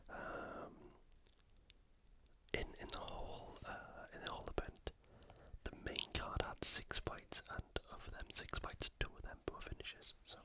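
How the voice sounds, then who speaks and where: An ASMR YouTuber speaks entirely in a breathy whisper, close to the microphone. The delivery is soft and unhurried, like a quiet sports rundown meant to relax.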